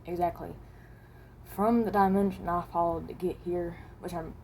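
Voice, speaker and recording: A woman voicing a reluctant, resigned animatronic rabbit from another dimension in a fan roleplay, speaking haltingly and correcting herself. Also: fairly low pitch, steady tone, a little mellow, a little hiss or hum, natural voice. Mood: weary, resigned. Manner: hesitant, halting, self-correcting.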